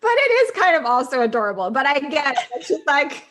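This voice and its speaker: A woman's voice: high voice, sing-song, brisk, a bit bright. A woman chatting casually as a podcast guest, enthusiastic and agreeable in a conversational way. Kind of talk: casual chat.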